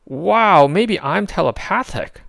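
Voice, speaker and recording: A man's voice: high-pitched, lively intonation, slow, creaky, fairly bright tone, no echo, pitched above the performer's own voice, full character voice. A man voicing a small, wisecracking, impatient raccoon with a sarcastic, punchy delivery in a storybook.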